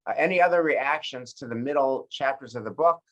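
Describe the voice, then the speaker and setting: A man leading a study group as rabbi, talking in a thoughtful, conversational way with frequent pauses and restarts. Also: mid-range voice, a bit bright, muffled recording. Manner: thoughtful, many fillers and restarts. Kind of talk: conversational teaching discussion.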